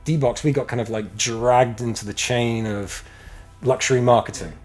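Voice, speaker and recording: A middle-aged American man speaks loosely on a podcast, circling his thoughts with restarts and blunt, profane asides. His voice sits at an ordinary pitch, and faint music plays underneath. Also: fairly even intonation, moderate pace, darkish tone. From United States. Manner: rambling, with many restarts and self-corrections. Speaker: podcast guest. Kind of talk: casual interview chat.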